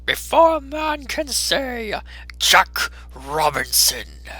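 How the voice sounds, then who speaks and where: Whooping, high, jolly old gentleman. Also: huge pitch swings, drawn-out words, exaggerated voice. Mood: jovial, excited. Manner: booming, whooping calls. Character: jovial, hearty, fat old gentleman merchant.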